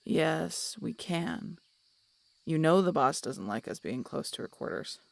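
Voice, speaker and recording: Woman acting a gravelly, grumpy female harpy. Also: low voice, animated, unhurried, dry recording, full character voice. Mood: stern. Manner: sardonic, curt, grudging. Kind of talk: audio roleplay acting.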